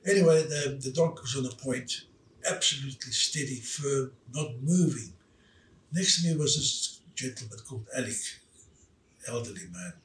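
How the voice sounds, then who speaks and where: A man's voice: mid-range voice, animated, a bit bright. Elderly man telling stories, distant mic. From South Africa. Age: 80s. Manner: halting, with many fillers and restarts. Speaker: interview guest. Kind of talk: storytelling in casual chat. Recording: roomy, distant mic, faint background noise.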